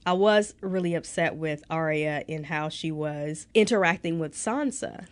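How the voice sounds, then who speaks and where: A young woman with a slightly low voice chats on a podcast, sounding nasal and stuffed up. She laughs often between her lines and talks in a loose, casual way. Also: measured pace. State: congested nose. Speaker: podcast co-host. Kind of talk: casual chat.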